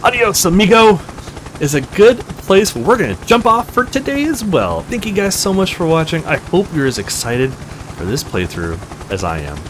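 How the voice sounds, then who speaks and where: A man hosting a visual novel let's play, reading aloud and reacting in an expressive, emotive way. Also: on the high side, moderate pace, a little hiss or hum, poor audio. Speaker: gaming video host.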